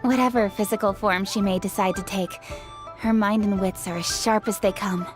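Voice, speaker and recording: An actress voicing a composed, dutiful female official with a poised, measured manner. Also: mid-range voice, fairly even intonation, music in the background, natural voice.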